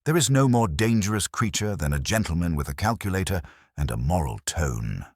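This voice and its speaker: A man voicing a prim, shrewd older woman, genteel and dryly amused, with crisp, precise speech in a storybook reading. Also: low-pitched, very animated, fairly quick, no echo, clean studio sound, full character voice. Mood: pleasant, dry.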